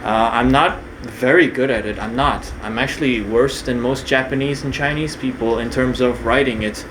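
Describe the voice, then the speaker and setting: A young man from Korea talks unscripted to the camera, stopping often to restart his sentences. His pitch sits in the middle of the range. A steady hum runs under the recording. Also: normal speed. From Korea, raised partly in New Zealand. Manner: hesitant, many fillers and restarts. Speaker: video creator. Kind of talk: casual unscripted monologue.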